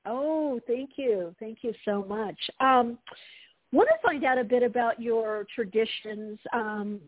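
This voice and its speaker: A woman's voice: a little high, takes it slowly, no room sound. A woman hosting an interview over a video call, bubbly and chatty, asking long rambling questions. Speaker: interview host. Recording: dry, phone-like narrow line.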